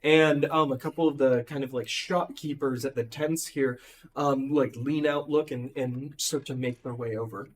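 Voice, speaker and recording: A hoarse man losing his voice, running a tabletop game and narrating with many ums and restarts. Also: medium pitch, fairly even intonation. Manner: halting, full of ums and likes. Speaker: game master.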